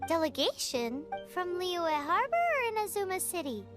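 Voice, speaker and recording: A woman voicing a small, cheerful, chatty childlike fairy companion in an anime-style video game, squeaky and chirpy. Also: very animated, moderate pace, music in the background. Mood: curious, excitable. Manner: chirpy, exclaiming, refers to self by name.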